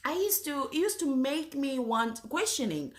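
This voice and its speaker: A woman from Zanzibar tells her own story, hesitating often and restarting her sentences. She keeps clearing her throat and coughing between phrases. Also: high-pitched, lively intonation. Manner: halting, many fillers and restarts, frequent throat clearing. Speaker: speaker sharing her faith story. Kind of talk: personal testimony.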